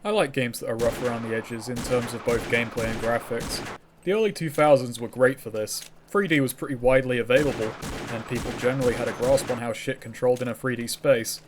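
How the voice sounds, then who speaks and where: A man's voice: mid-range voice, fast talker, noisy background. A man giving scripted, sardonic and profane game commentary. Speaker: game reviewer.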